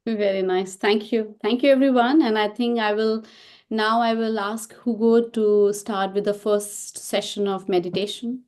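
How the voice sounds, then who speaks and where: A woman's voice: medium pitch, steady tone, measured pace, darkish tone. A middle-aged woman hosting an online meditation session over a video call, speaking with many fillers and restarts. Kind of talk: welcoming host talk.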